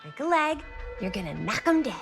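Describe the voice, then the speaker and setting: Young American woman, wry game heroine, higher pitch, very animated delivery, teasing, emphatic. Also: fairly quick, vocal fry, faint music behind. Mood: playful, coaxing. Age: young adult. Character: wry, driven young woman amateur horror filmmaker. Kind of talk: naturalistic video game acting.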